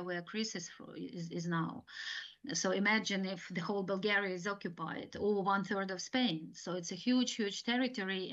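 A middle-aged woman with a Ukrainian accent gives an informal slide presentation, explaining haltingly and repeating words. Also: medium pitch, a bit bright. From eastern Ukraine. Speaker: guest speaker, communications specialist. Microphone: video call. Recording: dull, compressed video-call audio.

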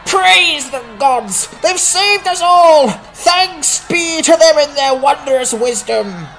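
Man acting an outraged priest, swinging pitch. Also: animated, takes it slowly, fairly bright tone, slight background noise, exaggerated voice, rough recording. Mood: exultant relief. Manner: accusatory and declamatory. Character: outraged, self-righteous male village priest. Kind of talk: dramatized fantasy audiobook acting.

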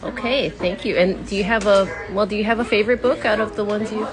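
A woman interviewer with a mid-range voice, encouraging and soft-spoken. She films on a phone in a bookstore gallery, with clear chatter from people behind her. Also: mid-range voice, steady tone, fast, poor audio. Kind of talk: casual live-stream interview. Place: bookstore art gallery, indoors.

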